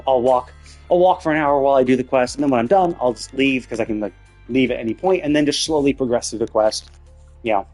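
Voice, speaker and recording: A man's voice: mid-range voice, darkish tone. Rambling male game streamer over music. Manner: rambling, conversational, casually profane. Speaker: live streamer. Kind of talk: casual gaming livestream chat.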